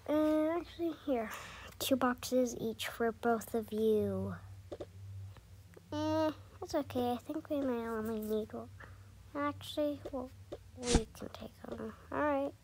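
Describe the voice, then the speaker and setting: A soft-spoken, hesitant young-sounding female voice improvising role-play for a dollhouse game. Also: on the high side, takes it slowly, a little hiss or hum. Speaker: gameplay storyteller. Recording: music playing behind the voice. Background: music.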